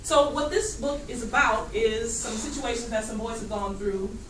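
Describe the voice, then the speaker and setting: Female teacher, higher-pitched, questioning and echoing answers, heard at a distance in a reverberant classroom. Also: moderate pace. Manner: questioning, repeats students' answers back. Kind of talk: classroom read-aloud discussion. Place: classroom with students. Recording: echoey noisy classroom, distant mic.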